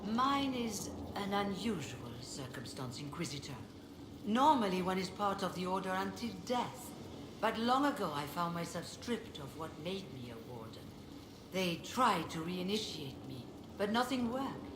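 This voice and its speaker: A woman voicing a weary, dignified mage leader in a video game, speaking in a measured, formal, reflective way. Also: fairly low pitch, sing-song. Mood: wry, reflective. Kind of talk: video game dialogue acting. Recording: roomy echo, clearly noisy game capture.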